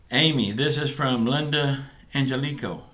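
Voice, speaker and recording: A man's voice: medium pitch, a bit bright. A man with a Southern US accent, casually answering viewer questions as a vlog co-host, easygoing and a bit rambling. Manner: easygoing, rambling, halting with fillers. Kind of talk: casual chat.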